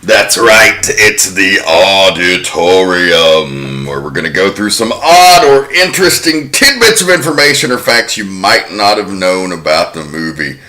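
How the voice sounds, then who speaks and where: Bright-toned, low-voiced man hosting. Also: sing-song, unhurried, few pauses, soft background music. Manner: informative, walks through researched facts. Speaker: podcast host. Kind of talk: casual film-history chat.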